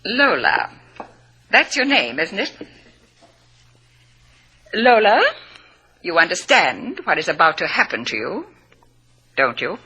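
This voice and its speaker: A woman's voice: on the low side, sing-song, slow pace, long pauses, pushed and bright, slightly rough, full character voice. A woman playing a sweet-talking, ruthless tourist murderess in an old radio drama, with a genteel, coy and menacing manner. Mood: coolly menacing. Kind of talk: 1940s-50s radio drama acting.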